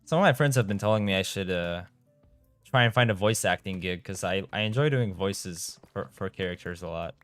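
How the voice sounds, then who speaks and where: Low-voiced young Romanian-accented streamer. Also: male voice, a little fast, clear, resonant tone, no room sound, soft background music. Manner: chatty, reads chat aloud, trips over his words. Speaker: game streamer. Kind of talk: casual live-stream chat while playing.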